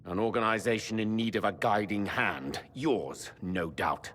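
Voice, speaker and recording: A man's voice: lowish voice, unhurried, a bit bright, a little hiss or hum. An actor playing an indignant, suspicious nobleman in a fantasy game, speaking in formal, accusatory oratory. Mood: indignant, accusing. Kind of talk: dramatic fantasy game acting. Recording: hall reverb.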